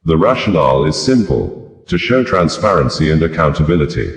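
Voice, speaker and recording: A man reading news reports aloud in a measured, formal way. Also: extremely low pitch, very animated, echoey room. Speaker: news reader.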